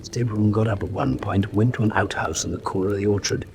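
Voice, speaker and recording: A man playing an earnest detective's companion in a radio drama, hushed and matter-of-fact. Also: on the low side, fast, lightly acted. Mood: tense, hushed. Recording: noisy radio drama recording.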